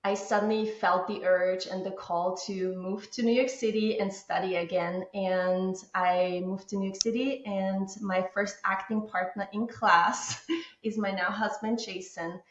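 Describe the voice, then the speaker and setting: Austrian woman, calm, roomy dull sound. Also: medium pitch, unhurried. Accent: Austrian German. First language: German. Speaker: guest. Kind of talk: podcast interview. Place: husband's office at home.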